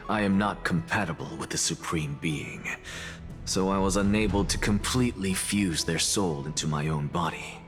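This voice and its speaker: Grandiloquent scholarly alchemist, on the low side. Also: male voice. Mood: triumphant, measured. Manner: lecturing, grandiloquent. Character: confident scholarly male alchemist, theatrical and self-assured. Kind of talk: scripted dramatic game dialogue.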